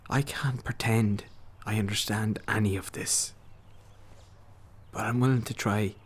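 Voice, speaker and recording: Guilt-ridden young ex-boyfriend, radio drama. Husky, caring, hesitant and sincere. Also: male voice, fairly low pitch, fairly even intonation, unhurried. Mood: guilty, tender. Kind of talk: naturalistic radio drama acting.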